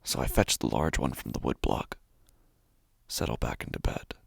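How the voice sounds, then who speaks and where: An actor playing an anxious, obsessive man narrating his sleepless nightmares in a halting horror audio monologue. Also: a bass voice, gravelly, husky, no echo, full character voice. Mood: obsessive unease. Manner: halting, hushed, often breaking into whispers. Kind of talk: first-person horror audio fiction monologue.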